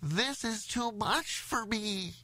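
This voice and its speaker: Man voicing a high-pitched, polite little elephant child. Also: animated, normal speed, a little mellow, slightly rough, no room sound. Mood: pained, nasal. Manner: courteous, wordy, pleading. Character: young elephant child, very polite, innocent, earnest. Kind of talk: storybook character voice.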